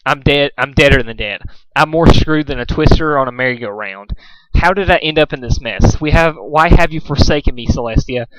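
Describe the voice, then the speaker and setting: A man's voice: medium pitch, fairly quick, fairly bright tone, clean tone, no room sound, close to an everyday voice, rough recording. A man voicing a meek, flustered, self-pitying male pony in a story reading. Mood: despairing. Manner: meek, muttering, fretful.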